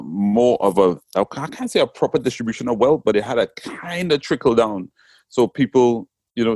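A man with a Trinidadian accent speaks in a lowish voice, recorded close and dry. He talks reflectively and casually, often circling back with "you know what I'm saying." He is a poet being interviewed. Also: darkish tone, no room sound. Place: England.

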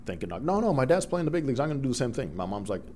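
A middle-aged man from the Dominican Republic whose first language is Spanish, a broadcaster telling lively stories as a guest in an interview. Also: medium pitch, very fast, a little hiss or hum. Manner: animated storyteller, acts out quoted voices. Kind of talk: storytelling interview.

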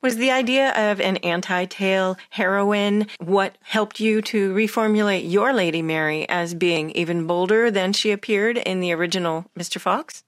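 A woman's voice: medium pitch, moderate pace, hardly any pauses, no room sound, studio quality. A woman interviewing as a drama director, asking carefully prepared questions in a formal, scripted style.

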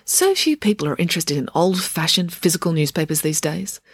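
A woman's voice: on the low side, sing-song, a little fast, husky, no room sound, heavily acted. A woman reading a story, voicing a cheerful, slightly prim and self-assured teenage girl. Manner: bright, cheerful, a little prim.

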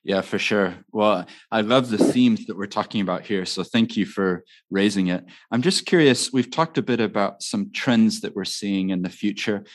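A man's voice: on the low side, moderate pace, hardly any pauses. A man from New Zealand, chatting casually as an interviewer. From grew up in New Zealand.

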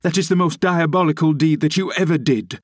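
A male reader puts on an agitated servant woman from a classic novel, lifted well above his natural pitch. She exclaims, scolds and warns with passionate urgency. The sound is dry. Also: a little fast, no room sound, natural voice. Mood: scolding, horrified. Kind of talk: dramatised classic novel reading.

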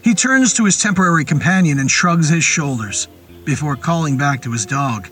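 A man narrating a scripted horror story in a deliberate, literary and descriptive manner. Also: a little high, animated, fairly bright tone. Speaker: narrator of a horror fiction podcast. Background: music and rain sound effects.

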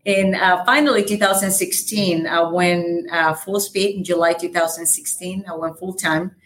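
A woman from El Salvador with a light Salvadoran Spanish accent, a native Spanish speaker, talking as a guest in a casual podcast interview about her real estate business, energetic and full of fillers. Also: lowish voice, moderate pace.

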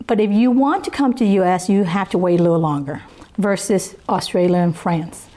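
A woman's voice: fairly low pitch, mellow. Earnest Vietnamese-born woman, southern lilt. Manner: earnest, unhurried, with pauses. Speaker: interviewee. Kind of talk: reflective personal testimony.

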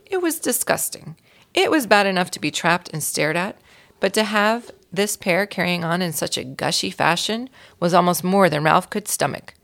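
A woman reading a children's story aloud in an expressive storytelling style, with a creaky voice. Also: fairly low pitch, moderate pace. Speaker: volunteer reader of a children's book.

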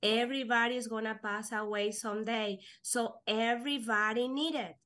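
A young woman whose first language is Spanish, talking earnestly in halting English with fillers as an interview guest. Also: fairly high pitch, fairly even intonation, fairly bright tone. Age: young adult. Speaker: guest, life insurance agent. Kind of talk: casual interview chat.

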